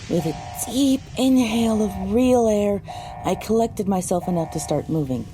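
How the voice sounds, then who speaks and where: A woman reading scripted first-person audiobook narration in a measured, dramatic storytelling manner. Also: medium pitch, low-quality recording. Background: music.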